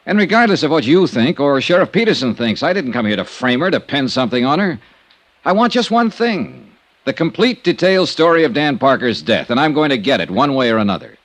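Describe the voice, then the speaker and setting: Fast, hard-boiled American radio investigator played by a man. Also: medium pitch, sing-song, no echo, natural voice. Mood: insistent. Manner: clipped, needling, wry, pressing questioner. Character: brisk, hard-boiled male freelance insurance investigator. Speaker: radio drama lead actor. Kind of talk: Golden Age American radio detective acting.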